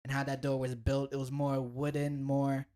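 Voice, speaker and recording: A woman's voice: a bass voice, level tone, moderate pace, warm tone. A woman co-hosting a podcast in casual chat, stopping now and then to hunt for a word and joking about it. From United States. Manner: searches for words, self-deprecating. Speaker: podcast co-host.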